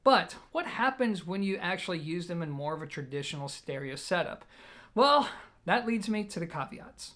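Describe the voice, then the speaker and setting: Guy with a pretty high voice doing a chatty gear review, blunt and emphatic, explains stuff as he goes. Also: animated, moderate pace, fairly bright tone. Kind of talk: product review to camera, conversational commentary.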